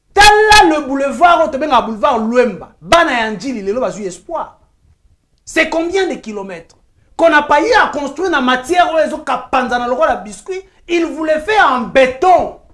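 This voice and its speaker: A woman with a Congolese French accent pressing her argument on a talk show. Her voice sits high and swings widely in pitch as she insists point by point, slipping into Lingala phrases. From Kinshasa, DR Congo. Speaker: journalist guest. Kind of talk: heated talk-show debate.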